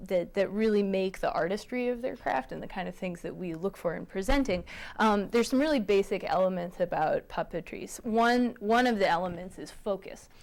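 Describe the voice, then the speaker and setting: A woman's voice: medium pitch, fairly quick, gravelly, darkish tone. A woman speaking as a guest puppeteer, explaining and demonstrating in a hesitant, stop-start way. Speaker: guest puppeteer and theatre director.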